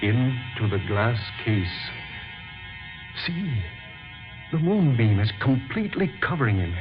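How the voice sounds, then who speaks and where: An actor playing a loyal, watchful scientist colleague in an old-time radio drama, formal and earnest. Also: male voice, medium pitch, very animated, takes it slowly. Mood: urgent, amazed. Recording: dull lo-fi old radio recording, music behind.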